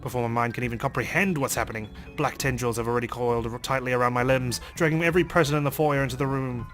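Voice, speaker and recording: Fast-talking man reading game narration theatrically. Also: mid-range voice, fairly even intonation, fairly bright tone. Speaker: let's play host reading game text. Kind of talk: dramatic reading of visual novel narration. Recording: voice over game music.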